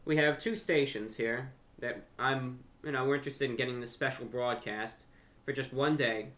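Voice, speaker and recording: A man's voice: medium pitch, fairly even intonation, moderate pace, a bit bright. A young American man giving a casual, conversational monologue to camera, earnest and repeating phrases for emphasis. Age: young adult. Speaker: host of a video channel and radio show. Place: furnished room at home.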